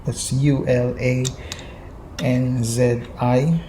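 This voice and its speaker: Slow hesitant Visayan Filipino man. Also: mid-range voice, little pitch movement, mellow, a little hiss or hum. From Philippines, Visayas. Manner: hesitant, many ums and restarts, mixing in Tagalog. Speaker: vlogger. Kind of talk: casual gear show-and-tell. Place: indoors at home, kitchen. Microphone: phone camera on a chest mount.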